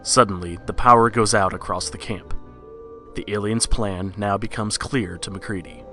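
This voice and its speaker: A man's voice: fairly low pitch, steady tone, normal speed, pushed and bright, faint music behind. A man narrating a scripted horror story in the style of a read-along storybook record.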